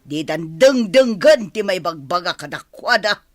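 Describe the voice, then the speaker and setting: A woman's voice: fairly low pitch, lively intonation, measured pace. A woman acting a stern, imperious, middle-aged wealthy mother in an Ilocano radio drama. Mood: angry, exasperated. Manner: imperious, scolding. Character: stern, domineering wealthy mother, quick to anger.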